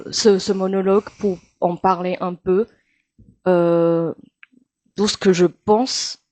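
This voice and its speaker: A woman's voice: on the low side, a little mellow, no room sound. Taiwanese woman speaking hesitant French. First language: Mandarin. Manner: hesitant, many pauses and fillers. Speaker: guest, actress and singer. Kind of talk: casual interview chat.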